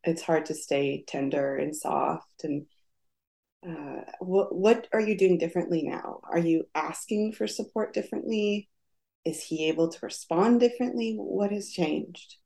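A woman hosting a podcast, asking gentle, searching questions of her guest. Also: on the low side, unhurried, creaky. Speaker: podcast host. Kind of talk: interview questions.

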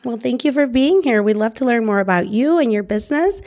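A woman's voice: on the high side, expressive, a little fast, a little mellow. A woman hosting a podcast interview in an upbeat, friendly way. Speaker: podcast host.

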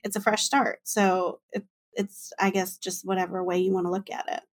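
A woman in her late thirties hosting a casual interview, chatty and giggly, with quick self-mocking asides. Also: medium pitch, little pitch movement. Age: 30s. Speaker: podcast host.

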